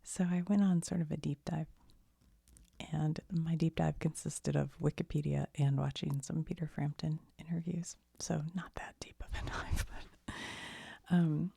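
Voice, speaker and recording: Soft asmr lady, super breathy n whispery, mellow tone, casual halting rambly explaining. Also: low voice, fairly even intonation, dry recording. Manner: conversational, halting, self-deprecating. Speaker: ASMR video host.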